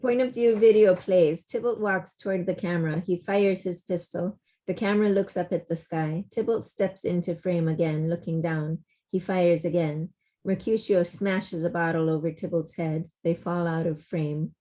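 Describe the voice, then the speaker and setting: A woman's voice: lowish voice, steady tone, moderate pace, mellow. A woman reading stage directions as scripted narration, in a plain, descriptive delivery.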